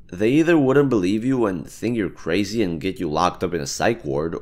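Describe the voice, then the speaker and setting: Man playing a deep-voiced, chatty brain parasite. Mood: frank, cautioning. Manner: casual, persuasive, explaining. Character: chatty, bargaining brain-eating amoeba parasite living in a head. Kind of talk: one-sided audio roleplay addressed to the listener.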